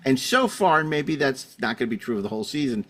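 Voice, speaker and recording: A man with an average-pitched voice hosts a relaxed panel show. He is wordy and opinionated, framing points for the group and slipping in dry asides. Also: quick pace. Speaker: podcast host. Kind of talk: casual panel moderation and commentary.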